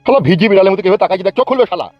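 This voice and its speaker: An actor voicing a bullying, foul-mouthed cartoon politician in rural West Bengal Bengali, hectoring and boastful. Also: male voice, sits high, expressive, racing, no echo, dull audio, faint music behind. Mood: angry, threatening. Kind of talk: comic cartoon dubbing.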